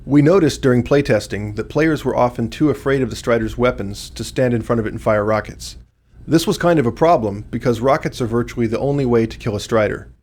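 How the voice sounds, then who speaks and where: A man with a medium-pitched voice reads developer commentary. He explains design fixes from a prepared script. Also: brisk, darkish tone. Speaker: game developer giving commentary.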